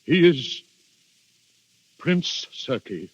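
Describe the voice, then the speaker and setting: A man playing a nervous, evasive aristocratic duke and father, halting and flustered, in a vintage radio drama. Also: mid-range voice, sing-song, husky, no echo, full character voice. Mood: guarded. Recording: dull, dry old radio recording.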